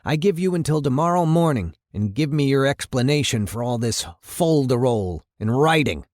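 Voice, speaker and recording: A man's voice: mid-range voice, animated, moderate pace, darkish tone, no echo, studio quality, pitched above the performer's own voice, close to an everyday voice. A man voicing a blustering, angry city mayor in an indignant, hectoring, emphatic way for an audiobook. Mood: threatening.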